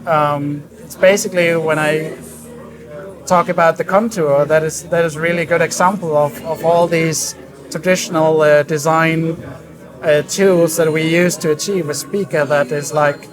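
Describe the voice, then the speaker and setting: A man explaining his work as a design director in an interview, in a halting, thoughtful way. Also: fairly high pitch, fairly even intonation, over music. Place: busy hi-fi trade show hall. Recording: crowd chatter around the voice. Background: crowd chatter.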